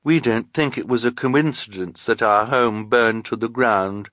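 A man's voice: medium pitch, unhurried, fairly bright tone, dry recording, clean studio sound, lightly acted. A man voicing a weary, firm henchwoman in a storybook, speaking with resigned resolve. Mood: weary defiance.

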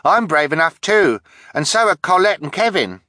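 A man's voice: high-pitched, big pitch swings, normal speed, sharp tone, dry recording, clean studio sound, pitched above the performer's own voice, heavily acted. Man playing an eager, insistent carnival performer. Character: eager, insistent male carnival freak, desperate to prove himself.

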